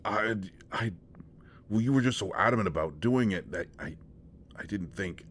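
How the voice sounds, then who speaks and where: A man's voice: fairly low pitch, animated, moderate pace, a bit bright. A man playing a shy, awkward young shapeshifter in an intimate roleplay audio drama, stammering and hesitant as he talks to a close friend. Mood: nervous, apologetic.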